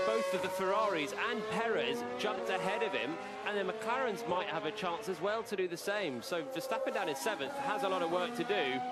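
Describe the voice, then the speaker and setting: A man giving measured, analytical co-commentary on a motor race. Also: high voice, heavy background noise. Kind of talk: live motor racing analysis.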